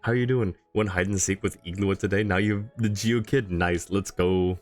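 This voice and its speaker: A man with a deep voice hosts a game livestream. He talks casually and amiably, commenting as he goes and chatting to viewers, with faint game music underneath. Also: steady tone, normal speed. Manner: friendly, chatty, informal. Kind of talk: casual chat and live commentary.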